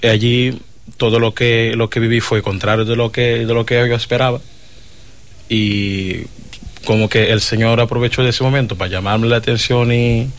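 A man from Verde, a seminarian, telling his vocation story with many pauses and humming fillers. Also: mid-range voice, steady tone. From Cape Verde. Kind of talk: personal testimony.

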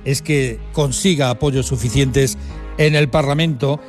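A man's voice: medium pitch, steady tone, measured pace, soft background music. Wry male economics commentator. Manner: wry, ironic delivery. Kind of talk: scripted radio commentary.

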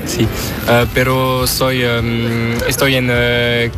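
A young male student answering a street interview outdoors, halting with many fillers and restarts. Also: mid-range voice, completely flat delivery, over music. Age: young adult. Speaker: student passer-by.

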